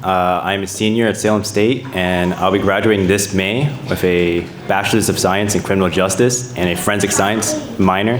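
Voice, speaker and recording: Young man, slightly deep voice, brief plain speech, roomy echo. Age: young adult. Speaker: student honoree. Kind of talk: short plain dedication speech. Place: ceremony room before an audience. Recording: echoey room with faint noise.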